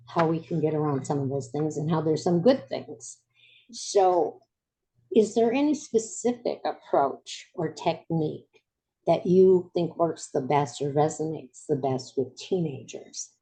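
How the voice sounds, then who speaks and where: Low, mellow-voiced woman in her seventies. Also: low-quality recording. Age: 70s. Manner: chatty, laughs easily, self-deprecating. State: recovering from voice loss. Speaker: podcast host. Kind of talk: interview.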